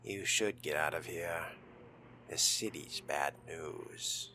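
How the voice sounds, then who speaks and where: A man role-playing a vampire in a game in a hushed, wry, theatrical murmur with a gravelly, whispery grain. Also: a bass voice, slow pace. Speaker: player voicing a vampire in a game. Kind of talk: hushed in-character role-play. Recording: noticeable background noise and game ambience.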